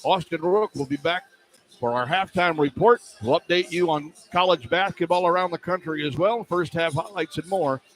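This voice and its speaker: A man's voice: a little high, moderate pace, rough recording. A man working as a radio play-by-play announcer, calling live basketball in clipped running phrases from a courtside spot. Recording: live arena broadcast, clear background noise. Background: arena ambience and noise.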